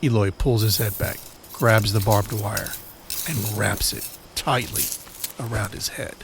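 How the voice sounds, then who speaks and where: A man narrating a scripted crime-horror audio drama in a measured, deliberate storytelling manner. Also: fairly low pitch, fairly even intonation, vocal fry, a little hiss or hum.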